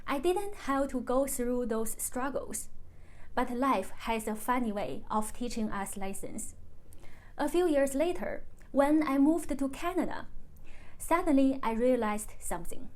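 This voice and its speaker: A woman from China whose first language is Chinese, speaking in English in a calm, measured, carefully scripted monologue as a vlogger. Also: high-pitched. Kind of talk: reflective personal monologue.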